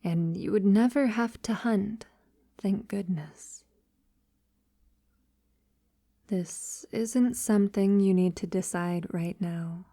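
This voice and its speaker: A woman playing a tender, devoted vampire lover in an intimate ASMR audio roleplay, softly and breathily, often near a whisper, with hesitant pauses. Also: mid-range voice, no echo, clean studio sound. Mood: earnest, gentle. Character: tender, devoted female vampire lover, wealthy and long-lived.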